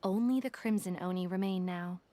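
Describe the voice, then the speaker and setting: A woman's voice: medium pitch, fairly quick, dark tone, lightly acted. A woman voicing a shrewd, self-assured detective for hire in a video game, articulate and businesslike. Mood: matter-of-fact. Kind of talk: English video game voice acting, explanatory dialogue.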